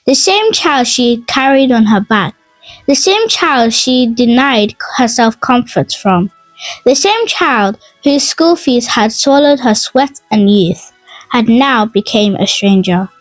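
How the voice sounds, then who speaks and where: A woman narrating a scripted folk tale in a dramatic, expressive and measured storytelling manner. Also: a little high, no room sound, poor audio. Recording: dry voice over music. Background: music.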